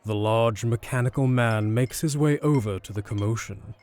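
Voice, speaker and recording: A man's voice: mid-range voice, moderate pace, a little mellow. A man reading scripted narration for an audio drama.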